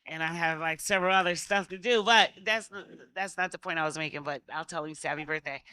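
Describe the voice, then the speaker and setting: A woman's voice: deep voice, fast, sharp tone, clear, resonant tone, no echo. A middle-aged American woman from Connecticut speaking as a legislator on a panel, conversational and often interrupting herself. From Connecticut, United States. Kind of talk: panel discussion, personal anecdote.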